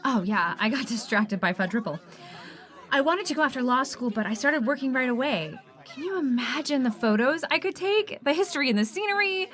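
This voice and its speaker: An actress playing a stubborn, warm single woman lawyer in a romantic comedy radio play. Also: high voice, expressive, a little fast. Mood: friendly. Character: warm, stubborn single woman lawyer who loves old-fashioned photography.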